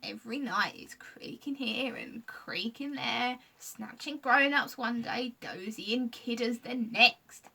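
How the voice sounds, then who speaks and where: Woman reading storybook creature, whispery and mischievous, bright tone, mic at a distance. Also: mid-range voice, wildly swooping pitch, takes it slowly, vocal fry, heavily acted. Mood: brooding. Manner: broken grammar, hushed whispery delivery. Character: scruffy itchy male creature, mischievous but gloomy.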